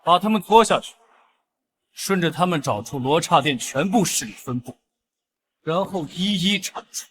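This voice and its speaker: A man's voice: a little high, expressive, normal speed, pauses often, a bit bright, slight background noise. A man acting a confident young hero, a secret war lord in a melodrama, with a self-assured delivery. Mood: commanding. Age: young adult. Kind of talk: melodramatic short-drama acting.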